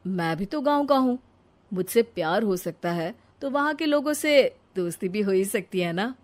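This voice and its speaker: A woman's voice: mid-range voice, animated, normal speed, lightly acted. Cartoon young village husband played by a woman: practical, persuasive, reasoning. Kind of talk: cartoon story acting.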